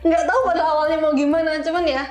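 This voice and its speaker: High-voiced young woman, echoey room. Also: fairly even intonation, quick pace, crowd noise. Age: young adult. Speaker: interview guest, iOS developer. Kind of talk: casual conversational storytelling. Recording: roomy, echoey sound.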